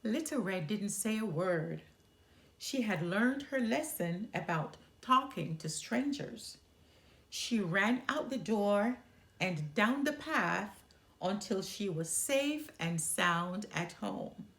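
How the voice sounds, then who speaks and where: A woman narrating a children's story as a storyteller, expressive and deliberate. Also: medium pitch.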